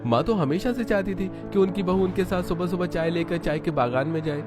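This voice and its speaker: A man's voice: sits high, fairly quick, music in the background, voice pushed up, close to an everyday voice. A man voicing a caring young husband in a cartoon family story. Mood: concerned, eager.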